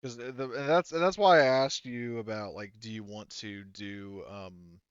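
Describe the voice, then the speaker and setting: A man's voice: mid-range voice, steady tone, a little slow, clean tone, no echo. A man acting as game master, casually refereeing a tabletop game and thinking aloud in a hesitant way. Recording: band-limited, dull dry audio.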